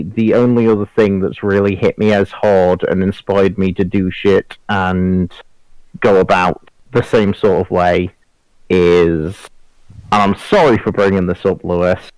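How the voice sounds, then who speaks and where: Deep-voiced young man, dry sound. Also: a little mellow, no room sound, rough recording. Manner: earnest, halting, searching for words. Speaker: podcast crew member. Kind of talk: casual podcast chat. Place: online voice call.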